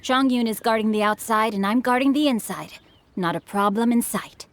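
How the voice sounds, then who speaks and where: A woman's voice: a little high, normal speed, lightly acted. A woman playing a dutiful, eager village guard in a video game. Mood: assured, dutiful.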